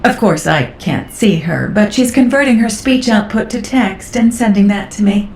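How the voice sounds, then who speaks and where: A woman playing a curious, analytical scientist in a video game, with deliberate, thoughtful delivery. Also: medium pitch, expressive, a little mellow, close to an everyday voice. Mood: upbeat, intrigued. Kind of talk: video game dialogue acting. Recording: roomy game audio, faint noise.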